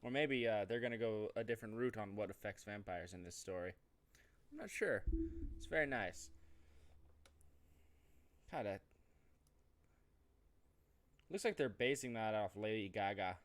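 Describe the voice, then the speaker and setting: A man's voice: fairly low pitch, animated, normal speed, pauses often. A man hosting a let's-play, talking casually with rambling, jokey asides and reading on-screen text aloud. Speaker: host of a gaming show.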